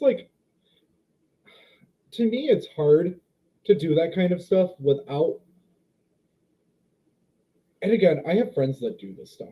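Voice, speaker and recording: Lively young man, slightly muffled sound. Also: on the high side. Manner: expressive, hesitant, searches for words. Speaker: dog trainer guest. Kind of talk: casual podcast interview.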